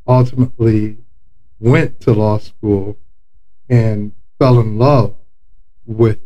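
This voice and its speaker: A man from South Florida who works as an attorney, speaking in a measured, articulate way on a podcast. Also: medium pitch, mellow, highs cut off. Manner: measured and articulate, with some fillers. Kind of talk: casual podcast interview.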